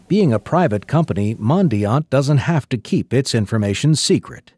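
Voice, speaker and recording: A man narrates a scripted news report. His voice has a middle pitch and the delivery is that of a reporter reading copy. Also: animated, moderate pace, darkish tone.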